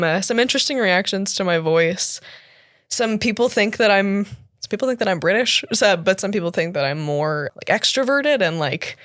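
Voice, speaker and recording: A Canadian woman in her twenties, an actor and cosplayer, chatting as an interview guest with a deeper voice than expected, laughing often and full of fillers. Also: fairly bright tone, dry recording, studio quality. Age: 20s. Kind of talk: casual interview chat.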